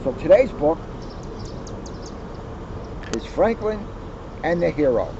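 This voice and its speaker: A man's voice: fairly high pitch, sing-song, takes it slowly. A man reading a children's story aloud as a police officer, recorded outdoors. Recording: dull lo-fi outdoor recording, traffic noise.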